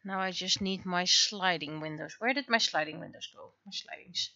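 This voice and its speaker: A woman's voice: fairly low pitch, normal speed, bright, forceful tone, dull audio. A woman chatting casually on a livestream as she works, thinking aloud to herself in short fragments. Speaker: livestreaming artist. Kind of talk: casual livestream chat while drawing.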